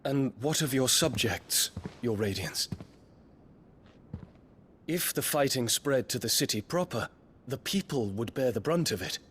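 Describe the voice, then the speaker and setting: An actor playing a dutiful, earnest prince in a fantasy game, formal and restrained, with quiet concern in his manner. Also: mid-range voice, animated, unhurried, a little hiss or hum. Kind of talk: fantasy video game cutscene acting.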